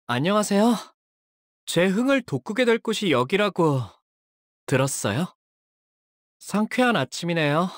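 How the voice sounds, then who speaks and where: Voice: mid-range voice, dry recording. A young guy fighter from a video game, polite n dramatic, voice moves up and down a lot, super dry recording. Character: earnest, anxious young man, a devoted shielding fighter; tormented young male zealot who purifies with fire. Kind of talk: video game character voice lines.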